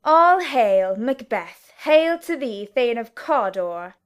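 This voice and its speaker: Eager witch played by a woman, slow drawn-out declaiming, voice swoops around, shakespeare audiobook. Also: on the high side, sing-song, smooth. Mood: prophetic, hailing. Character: eager witch hailing a future lord. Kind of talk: dramatic Shakespeare audiobook reading.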